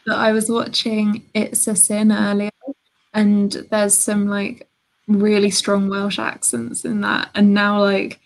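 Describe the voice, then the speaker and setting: A woman's voice: medium pitch, monotone, gravelly, poor audio. Young woman, encouraging call chat. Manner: supportive, encouraging, quick with mm-hmms. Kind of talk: casual chat on a live stream.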